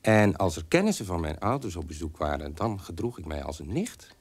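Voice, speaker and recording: Bitter, defiant son, animated radio monologue. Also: male voice, low-pitched, fairly quick, slightly rough, full character voice. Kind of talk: Dutch radio drama monologue.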